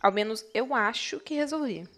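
A Brazilian woman with a fairly high voice acting in an audio drama. She plays a worried, caring housemate who talks in a practical, everyday way. The delivery is naturalistic and conversational. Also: animated, moderate pace, fairly bright tone, slight background noise. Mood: casual, relieved.

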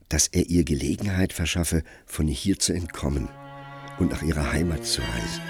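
Deep, slow male radio play narrator. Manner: measured, expressive storytelling.

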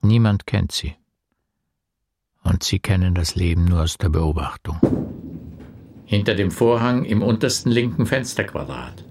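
A man's voice: sits low, background noise. An actor playing a brooding man who questions and accuses insistently, in a poetic German radio play. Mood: probing. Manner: literary, deliberate, insistent questioning.

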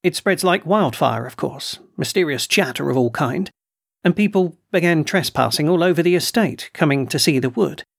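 A man reading aloud and voicing an excitable, blustering military colonel with emphatic outbursts. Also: fairly high pitch, vocal fry, breathy edge, dry recording, studio quality. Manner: agitated, emphatic, snorting outbursts. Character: blustering excitable middle-aged male colonel.

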